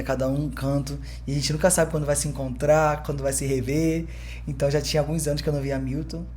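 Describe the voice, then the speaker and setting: A man's voice: fairly high pitch, slight background noise. Upbeat welcoming podcast host man. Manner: upbeat, welcoming, talks fast when excited. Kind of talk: casual podcast conversation.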